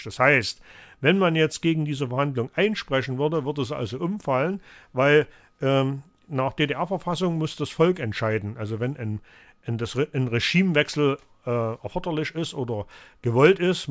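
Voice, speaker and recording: A man's voice: on the high side, no echo. Man lecturing with a cold. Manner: rambling, lecturing, many fillers and restarts. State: has a cold, coughing. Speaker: live call-in show host. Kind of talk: live call-in Q&A. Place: home recording studio.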